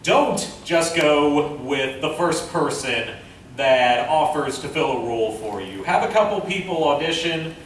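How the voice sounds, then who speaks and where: A man presenting an informal convention panel, speaking haltingly as he thinks aloud. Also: a little high, animated. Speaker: panel presenter, amateur director and voice actor. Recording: echoey room from far off, faint noise.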